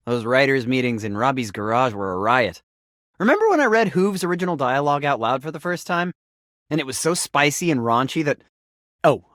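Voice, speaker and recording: A man's voice: medium pitch, fairly bright tone, dry recording, studio quality. A man voicing a friendly, geeky, playful game writer in a video game, chatty, informal and enthusiastic. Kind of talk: video game character voice acting.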